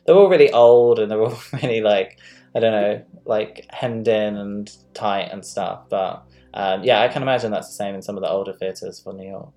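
A woman's voice: very deep voice, normal speed, mellow. Woman hosting a livestream, rambly and chatty, lots of likes and ums. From London. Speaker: host. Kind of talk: casual live-stream chat.

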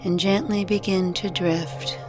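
A woman guiding a meditation in a gentle, soothing, unhurried way, leaving long pauses between phrases. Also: lowish voice, fairly even intonation, poor audio. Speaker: meditation guide. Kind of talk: guided meditation narration. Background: music.

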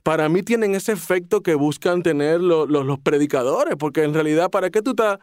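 A man talks in a fairly high, smooth and clear voice. He muses in a reflective way, and the recording is dry and close. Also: lively intonation, a little fast, a little mellow, no room sound. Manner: reflective, thoughtful with many restarts. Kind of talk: casual podcast conversation.

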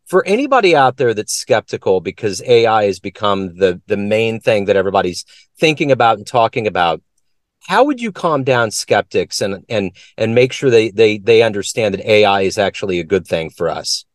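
A man with a low, dry-sounding voice hosts a conversational interview. He asks friendly, curious questions, and his voice rises on them. The sound is close and dead, with no room echo. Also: very animated, normal speed. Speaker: interviewer and show host.

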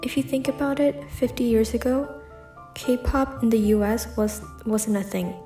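A young woman from the United States talks through a personal solo podcast episode in a reflective way, leaning on "like" and "um" as she goes. Her voice is mellow and warm, set at a middle pitch. The room is echoey and faint music sits underneath. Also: moderate pace. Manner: reflective, frequent "like" and "um" fillers. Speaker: podcast host.

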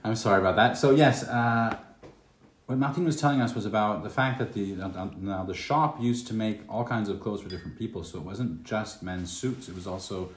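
A man hosting a podcast interview in a relaxed, hesitant way, with plenty of fillers. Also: fairly low pitch, a little fast, echoey room, slight background noise. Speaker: podcast host.

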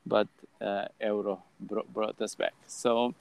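A man in his thirties from Croatia with a Croatian accent, hosting a casual podcast chat in halting English with frequent restarts. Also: lowish voice, flat delivery, gravelly, dry recording. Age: 30s. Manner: halting, many restarts and fillers. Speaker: podcast host.

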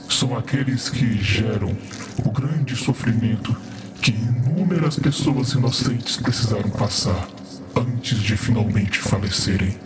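A man's voice: mid-range voice, pitched below the performer's own voice. A man voicing a great sinister creature in a horror tabletop game, solemn and accusing. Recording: echoing voice.

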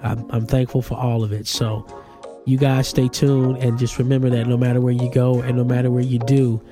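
A man's voice: mid-range voice, flat delivery, a little fast, over music. A congested man in his forties hosting a solo podcast, giving emphatic, opinionated commentary. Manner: opinionated, emphatic, rising to exclamations. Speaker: podcast host.

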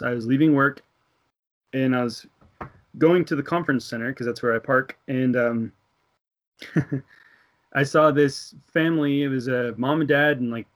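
Earnest man telling a faith story. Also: mid-range voice. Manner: earnest storytelling, laughs between thoughts, acts out dialogue. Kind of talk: casual religious discussion.

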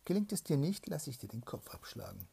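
A man reading a fairy tale aloud and voicing a stern young sorceress princess, mostly whispered, breaking into loud sharp commands. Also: medium pitch, sing-song, a little fast, gravelly, breathy edge, voice pushed up, exaggerated voice. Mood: commanding.

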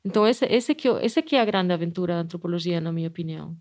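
A woman's voice: on the low side, very fast. A middle-aged woman professor with a Spanish accent, speaking Portuguese as a second language, answering interview questions thoughtfully, with frequent restarts and tag questions. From Spain. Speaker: anthropology professor.

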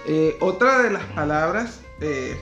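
A man's voice: a little high, sing-song, takes it slowly, a bit bright, dull audio, faint music behind. A man from Venezuela with a Venezuelan accent, explaining things in a casual chat and asking questions. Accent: Venezuelan Spanish.

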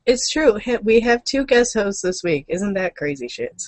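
A woman's voice: mid-range voice, animated, normal speed, no echo. Woman, dry close recording, casual co-host banter, playful, irreverent, sweary. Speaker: co-host of a gaming and comics news show. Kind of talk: casual unscripted chat.

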